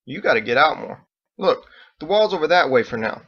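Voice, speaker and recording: A man's voice: fairly high pitch, lively intonation, a little fast, highs cut off, voice pushed up. A man voicing a brash, touchy, boastful male cage fighter in an audiobook, talking in emphatic slang. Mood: casual. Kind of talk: character voice in audiobook narration.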